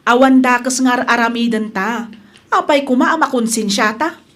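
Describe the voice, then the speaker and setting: Radio drama younger daughter, woman performer: slightly high voice, Ilocano accent, protective, earnest, gently firm. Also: normal speed, lightly acted.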